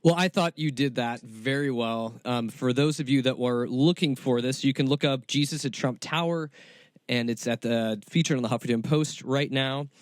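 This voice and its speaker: A man's voice: mid-range voice, normal speed, no echo. A man hosting an interview, talking conversationally as he addresses his guest and listeners. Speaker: podcast interview host.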